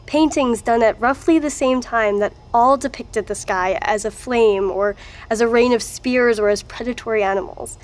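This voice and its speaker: Audio drama: actress for a knowing female archivist, wry and factual, with a fairly high voice. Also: moderate pace, close to an everyday voice. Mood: matter-of-fact. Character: informed, wry woman researcher and archivist.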